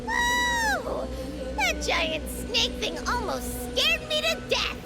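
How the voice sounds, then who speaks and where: Loud game music plays under a cheeky, excitable young anime adventurer. The voice is high and swings widely in pitch. She is dramatic and playful, full of exclamations, in the manner of a dubbed game heroine. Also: takes it slowly, full character voice. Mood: spooked, squeamish. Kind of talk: English anime game dub acting. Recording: prominent game music behind the voice.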